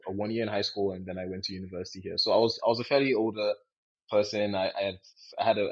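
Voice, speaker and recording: A man's voice: low voice, breathy edge. A young man from Nigeria talking casually as a podcast co-host, often repeating and rephrasing as he goes. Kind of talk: casual discussion. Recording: dull, lo-fi sound.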